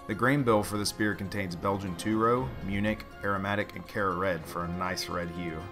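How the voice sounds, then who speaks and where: A man's voice: low-pitched, fairly even intonation, normal speed, over music. A man hosting a homebrew show, talking casually with a playful, dry sense of humor. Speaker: host, home brewer. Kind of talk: casual scripted narration and relaxed tasting chat.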